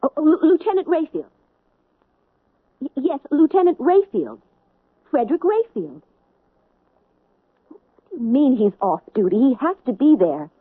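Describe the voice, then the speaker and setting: An actress playing a brisk chief health officer, speaking in an urgent, clipped, authoritative way. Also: female voice, high-pitched, sing-song, no echo. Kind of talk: 1970s radio drama acting. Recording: muffled old radio broadcast.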